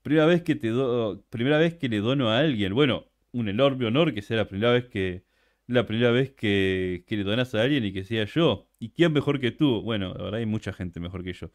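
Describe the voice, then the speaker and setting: A man's voice: mid-range voice, fairly quick, no echo, studio quality. A young Argentine man who is a guest on a livestream interview, chatting casually and animatedly.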